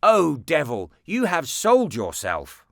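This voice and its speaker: A sly little storybook boy, played by a male reader, pitched well up and very lively in tone. He sounds prim and falsely innocent, with mischief underneath. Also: slow pace, vocal fry, no room sound, clean studio sound, exaggerated voice. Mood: gleeful, triumphant. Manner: mock-innocent, prim, gleeful.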